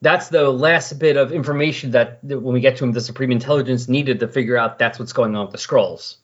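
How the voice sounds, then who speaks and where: Male podcast host, husky voice, casual explanatory recapping, dull lo-fi sound. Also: mid-range voice, fairly even intonation, a little fast. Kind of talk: casual comic book discussion. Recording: dull lo-fi voice recording.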